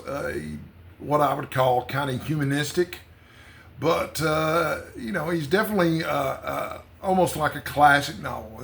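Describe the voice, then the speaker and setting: A man's voice: a little high, a bit bright. A man hosting a book channel, talking casually and conversationally without a script, in a rambling way. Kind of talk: casual unscripted book vlog.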